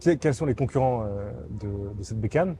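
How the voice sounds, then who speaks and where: A man's voice: fairly low pitch, lively intonation, moderate pace, warm tone, slight background noise. A man interviewing a guest in a casual, chatty and enthusiastic way.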